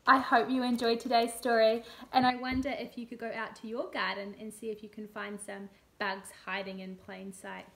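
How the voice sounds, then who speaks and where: A woman, a teacher reading a picture book aloud to her class in an expressive storybook voice, from a large bare living room on a laptop mic. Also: fairly high pitch, moderate pace, hardly any pauses. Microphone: laptop camera at arm's length.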